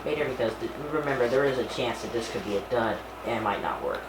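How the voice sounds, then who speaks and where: A man's voice: medium pitch, quick pace. Man casually narrating, noisy home recording. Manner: informal, narrates each small action aloud. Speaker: hobbyist video creator presenting an unboxing. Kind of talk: casual hands-on unboxing commentary.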